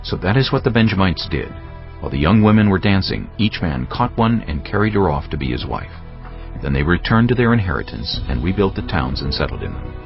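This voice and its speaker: A man with a gravelly voice narrating scripture solemnly and dramatically, as in an audio drama. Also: low-pitched, big pitch swings, brisk. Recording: dull lo-fi audio, music behind. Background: clear music.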